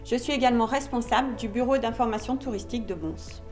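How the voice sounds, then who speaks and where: A woman's voice: a little high, fast, over loud music. A woman giving a scripted presentation as a visitor centre guide.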